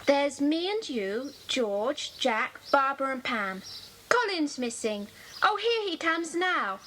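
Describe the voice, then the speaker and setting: A woman's voice: high voice, very animated, slow, a bit bright, heavily acted. A woman playing a sensible, chatty schoolgirl in a children's audio drama, prim and matter-of-fact. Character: sensible, chatty schoolgirl, club leader's sister. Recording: faintly noisy old cassette.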